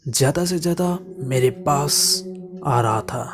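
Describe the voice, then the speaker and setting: A man narrating a first-person horror story dramatically, in halting short phrases that sometimes drop to a hush. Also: mid-range voice. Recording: music bed behind the voice.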